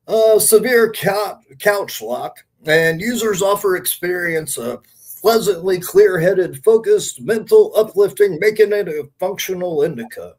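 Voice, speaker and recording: A man hosting a casual live podcast, with a showy, announcer-like way of talking. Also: high voice. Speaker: podcast host.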